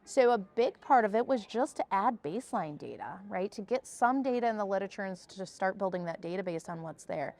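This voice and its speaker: A woman's voice: mid-range voice, lively intonation, a little fast, hardly any pauses, darkish tone. The woman scientist you'd hear on a research podcast panel, walking listeners through results point by point. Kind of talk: explanatory research discussion.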